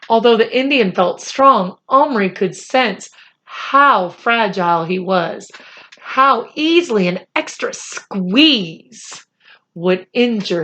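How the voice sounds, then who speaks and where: A woman reading a children's chapter book aloud in a deliberate, expressive storytelling style. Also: medium pitch. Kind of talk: read-aloud storytelling narration.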